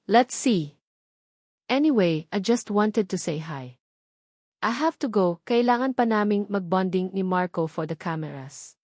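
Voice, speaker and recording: A woman's voice: medium pitch, expressive, a little slow, darkish tone, dry recording. A woman narrator puts on a suave female star from a romance audio story. She is cool and condescending, measuring every word against a rival. The sound is close and dry.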